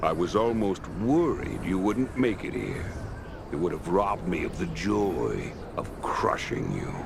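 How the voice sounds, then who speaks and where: A man playing a cruel, haughty undead dark knight who mocks and gloats in a video game. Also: low-pitched, very animated, a little slow, gravelly, noisy background, heavily acted. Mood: gloating. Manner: haughty, mocking. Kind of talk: video game villain acting.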